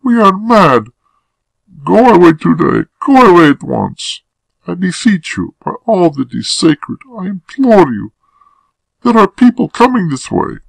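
A man voicing an anguished, frightened young married woman in a story, breathy and pleading urgently. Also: sits high, sing-song, measured pace, no room sound, voice pushed up, exaggerated voice, poor audio. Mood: horrified, urgent.

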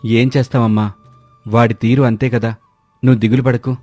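A man playing a hardworking, dutiful younger brother who farms, speaking earnestly and reassuringly in an animated moral story. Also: medium pitch, moderate pace, dry recording, faint music behind, lightly acted.

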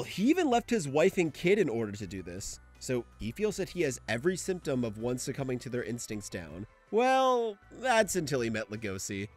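Animated male video essayist, casual. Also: mid-range voice. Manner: informal, conversational, mildly sweary. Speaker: video essayist, series host. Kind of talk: casual scripted commentary.

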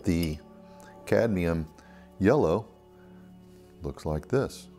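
Slow, deep-voiced man explaining painting. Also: lively intonation, mellow, soft background music. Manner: easygoing, unhurried, many ums and uhs. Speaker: art tutorial host. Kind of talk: relaxed step-by-step painting demonstration. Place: at a table, painting from overhead camera view.

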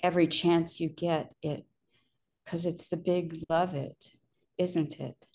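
An older woman reading poetry in a measured way over a video call. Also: on the low side, flat delivery, mellow. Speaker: poet. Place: living room indoors. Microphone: video call webcam.

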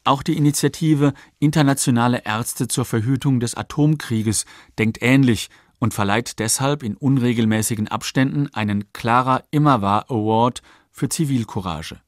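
A man narrating a scripted radio documentary in a factual, measured way at a close studio microphone. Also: mid-range voice, dry recording.

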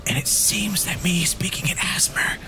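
A man's voice: high voice, animated, moderate pace, creaky, music in the background. A middle-aged man co-hosting a gaming show with casual, joking, sweary commentary, often in a mock ASMR style. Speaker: gaming show co-host and coach. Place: indoor streaming room.

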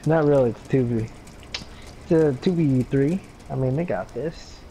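Young man, medium pitch, slangy joking game chat, clear background noise and clicks. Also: normal speed, dark tone, low-quality recording. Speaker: gamer playing an online shooter. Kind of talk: casual gaming banter.

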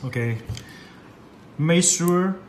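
A man giving a step-by-step software tutorial in halting, repetitive English. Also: fairly high pitch, animated. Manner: halting, repetitive non-native English phrasing. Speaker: product demonstrator. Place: indoors at a laptop on a desk. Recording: echoey room with clear background noise.

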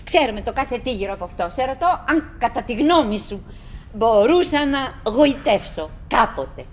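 An actress playing a chatty, wistful middle-aged wife in a theatrical radio drama, musing and rambling with dramatic pauses. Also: female voice, on the high side, lively intonation. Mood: pleading. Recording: noisy old radio drama recording.

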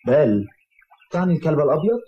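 A determined cartoon hunter, earnest and emphatic. His voice is fairly high for a man and swings widely. He talks fast and urgently. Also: big pitch swings, dark tone, roomy sound, highs cut off. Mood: stern. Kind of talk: dubbed cartoon acting.

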